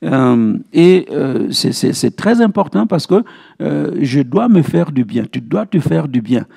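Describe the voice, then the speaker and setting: Man, pastor, gravelly and mellow, didactic Bible teaching, repeated key phrases. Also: on the high side, sing-song, a little slow. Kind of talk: Bible teaching with verse citations.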